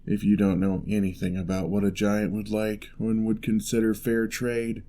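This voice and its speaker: A man playing a lonely, gentle male giant in a fantasy ASMR roleplay, speaking in a measured, wry, slightly formal way. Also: on the low side, flat delivery, close to an everyday voice. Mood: amused, chiding.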